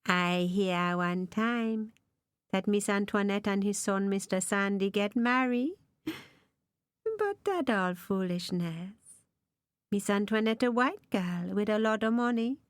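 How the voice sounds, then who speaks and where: A woman's voice: medium pitch, takes it slowly, smooth, no echo, clean studio sound, close to an everyday voice. A woman voicing a sly, gossiping servant woman, talking in a knowing way.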